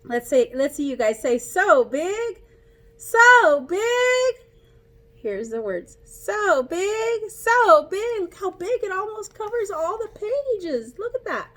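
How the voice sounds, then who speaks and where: A woman reading a picture book aloud to children like a teacher, in an animated, playful way, coaxing young listeners to join in. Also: extremely high pitch, unhurried, hardly any pauses.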